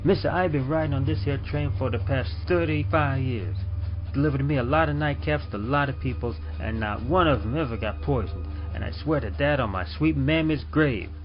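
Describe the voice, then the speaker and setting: A man voicing an indignant, superstitious older train porter with a folksy, excitable Southern accent in an old-time radio drama. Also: mid-range voice, brisk, voice pushed up, close to an everyday voice. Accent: American South. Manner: folksy, dialect-heavy, excitable. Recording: dull lo-fi audio under music.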